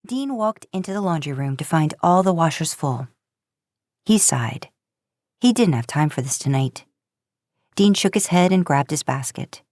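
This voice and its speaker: A woman's voice: low voice, lively intonation, normal speed, long pauses, a little mellow, no room sound, clean studio sound. A woman narrating a story as a podcast host, in audiobook style.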